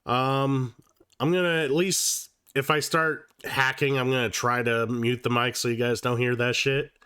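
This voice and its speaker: A man's voice: mid-range voice, little pitch movement, unhurried, sharp tone, clear, resonant tone, no room sound, studio quality. A man hosting a live stream of board games, chatting casually while sick and sounding slightly nasal, swearing freely. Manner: casual and profane, with many fillers and restarts. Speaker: livestream host. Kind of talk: casual livestream chat.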